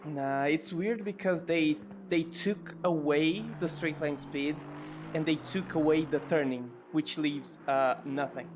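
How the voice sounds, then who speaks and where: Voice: mid-range voice, measured pace, noisy background. A co-commentator chatting casually during an online race broadcast, joking and laughing often. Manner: playful, laughs often, lively swooping exclamations.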